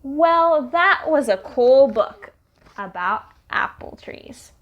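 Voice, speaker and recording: Young woman reading picture books aloud for kids, sing-song and unhurried. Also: sits high, highs cut off. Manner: slow, lively, sing-song storytelling. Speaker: children's storytime reader.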